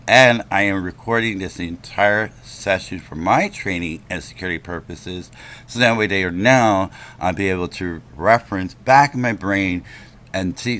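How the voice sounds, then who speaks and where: A man's voice: deep voice, a bit bright. A man making a complaint on a phone call, speaking in an insistent, confrontational, lecturing manner.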